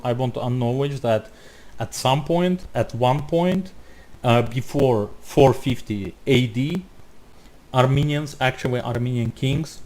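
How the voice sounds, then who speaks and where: A man's voice: mid-range voice, darkish tone. Guy ranting over a video, blunt, heated, keeps restarting lines. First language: not English. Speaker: reaction video commentator. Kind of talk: commentary over a played video.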